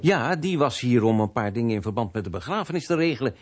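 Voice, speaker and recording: An actor playing an earnest vicar in a radio play, husky and often searching for words. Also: male voice, medium pitch, big pitch swings, quick pace. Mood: searching, hesitant. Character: earnest, hesitant male vicar, new to his parish. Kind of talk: Dutch radio play acting.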